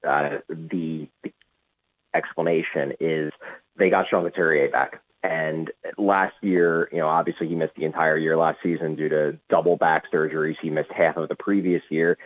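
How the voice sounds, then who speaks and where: A man's voice: a bass voice, flat delivery, moderate pace. A male sports reporter giving analytical hockey commentary in a radio interview, in hesitant phrases with repeated words. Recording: muffled, tinny phone line.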